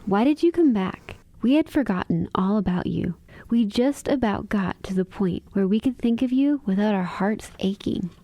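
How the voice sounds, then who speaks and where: A woman's voice: mid-range voice, animated, moderate pace, mellow, no room sound, natural voice. A woman playing a kind, sensible young sweetheart with a wounded heart in a radio drama. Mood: hurt, yearning. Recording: clear background noise.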